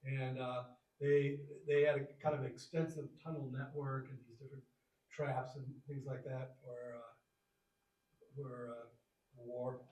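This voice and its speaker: Man, informal slide presenter, hesitant and halting, picked up from afar in a very roomy space. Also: mid-range voice, little pitch movement, darkish tone. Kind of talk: informal slide talk to a group. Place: echoey room, recorded from a distance. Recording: echoey room, distant microphone.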